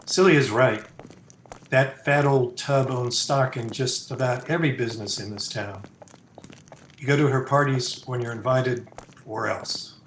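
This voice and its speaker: A man's voice: medium pitch, a little slow, lightly acted. Man acting a sardonic radio-drama boyfriend, roomy echo. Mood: sardonic griping. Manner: wisecracking, griping, slangy. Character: sardonic young engaged man grumbling to his fiancée. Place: indoor room, recorded over a video call. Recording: roomy echo, noisy home video-call recording.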